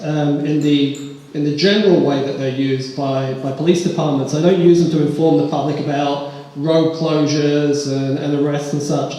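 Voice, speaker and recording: A police officer giving a live pitch talk on stage, joking at his own expense with the audience. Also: darkish tone. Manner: self-deprecating, joking with the audience. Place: large echoey hall. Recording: echoey hall, roomy reverb.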